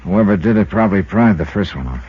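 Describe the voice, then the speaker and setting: An actor playing a dry, world-weary insurance investigator in 1950s radio detective style, clipped and deadpan, with an American accent. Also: male voice, deep voice, fast talker, slightly rough. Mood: probing, dry. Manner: clipped, hard-boiled, deadpan. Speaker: lead actor and narrator of a detective series. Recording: muffled 1950s radio recording, music behind.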